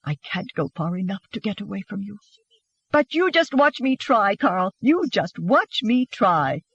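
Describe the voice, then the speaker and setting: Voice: medium pitch, fairly quick, creaky, breathy edge, no echo, highs cut off. A narrator as a fiery, defiant young wife. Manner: spitting, taunting, shouting back. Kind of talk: dramatised audiobook dialogue.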